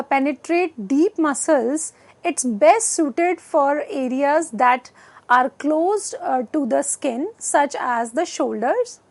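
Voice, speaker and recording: A woman with an Indian accent delivers a lecture in a high voice. She moves very slowly, phrase by phrase, with some halting as she reads. The sound is dry and close, from a lapel mic in a studio. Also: no room sound. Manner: halting, reading out in short phrases. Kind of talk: scripted educational lecture. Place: indoor studio.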